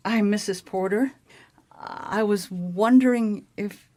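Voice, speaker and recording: A woman's voice: medium pitch, slow pace, darkish tone, close to an everyday voice. A woman playing a nervous payroll clerk in a radio mystery, speaking politely, hesitantly and evasively. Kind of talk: radio mystery drama acting.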